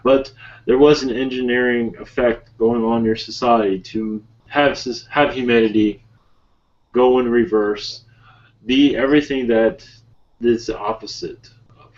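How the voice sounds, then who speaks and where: A man voicing an ancient otherworldly spirit guide in a calm, solemn, halting manner with measured, broken phrasing. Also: mid-range voice, natural voice. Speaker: channeler leading a webinar session. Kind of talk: slow improvised channeled speech. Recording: muffled lo-fi webinar call audio.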